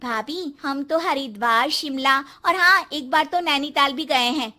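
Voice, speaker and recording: Young sister-in-law acted by a woman, high, bright, friendly, earnest, modest, cartoon family drama. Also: a little slow.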